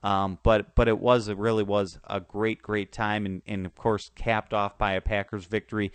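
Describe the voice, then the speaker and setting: A man hosting a casual football talk show, his voice sore and a bit hoarse, stumbling through restarts and fillers. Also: on the low side, little pitch movement, no echo. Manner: halting, many restarts and fillers. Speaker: sports podcast host.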